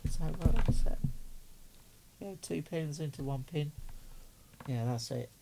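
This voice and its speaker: A man's voice: fairly high pitch, measured pace, creaky, noisy background. A man giving casual, doting unboxing commentary as a hobbyist, chatting and muttering to himself as he works. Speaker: radio hobbyist vlogger.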